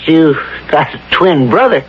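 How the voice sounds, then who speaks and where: A rough-edged small-town American bar owner for old-time radio. His voice sits fairly high for a man, and his talk is folksy, wary and slangy. The sound is dull with faint noise. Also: expressive, unhurried. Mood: warning, insistent. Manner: folksy, slangy, hesitant with uhs. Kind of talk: vintage radio drama acting.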